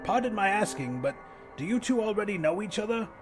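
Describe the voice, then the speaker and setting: A man's voice: sits high, lively intonation, a little fast, over music, voice pushed up. Man as a polite, bewildered older professor. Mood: politely curious. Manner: formal, hesitant. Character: polite, bookish 62-year-old English professor, bewildered.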